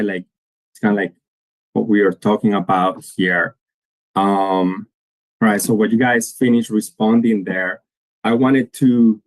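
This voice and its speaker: A man from Colombia speaks. His voice sits fairly low, and the recording is dry and close. He presents earnestly to young listeners and often repeats a word before going on. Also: dry recording, low-quality recording. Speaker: guest speaker. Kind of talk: conference presentation to students.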